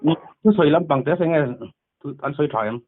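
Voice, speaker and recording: Higher-voiced man on a narrow phone line. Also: quick pace. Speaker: phone caller. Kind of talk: casual phone chat. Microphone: telephone.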